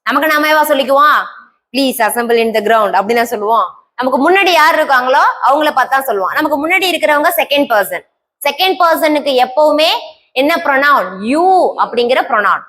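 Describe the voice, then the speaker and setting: A woman teaching a classroom grammar lesson, explaining step by step, quizzing the class and answering herself, and reading English example sentences out slowly word by word. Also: sits high, animated, fairly bright tone. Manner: scolds and teases students lightly between explanations.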